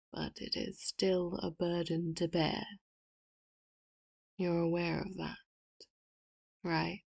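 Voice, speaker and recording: A woman playing a softly menacing, doting villain. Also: on the low side, gravelly, slightly rough, full character voice. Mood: possessive. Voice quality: soft and intimate, often whispered. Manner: sweetly manipulative, with quiet laughs. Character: possessive, doting female villain, calm and menacing. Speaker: ASMR roleplay voice actor. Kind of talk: audio roleplay, addressing the listener.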